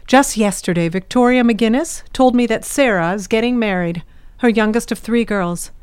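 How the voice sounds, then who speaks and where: A woman playing an overbearing, fretful middle-aged mother in an audio drama, theatrical and quick to take offense. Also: fairly high pitch, a little mellow, clear, resonant tone, no room sound, close to an everyday voice. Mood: insistent, worried. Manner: guilt-tripping, theatrical, indignant.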